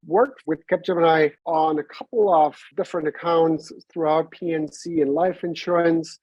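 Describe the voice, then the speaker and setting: A German-accented man in his forties talks as a podcast guest with a husky edge. He lays out his points in a measured, step-by-step way. The sound is dry and slightly dull. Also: fairly high pitch, steady tone, a little mellow, no echo. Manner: measured, explanatory, builds long points step by step. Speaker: podcast guest, insurance consultant. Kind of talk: conversational business interview.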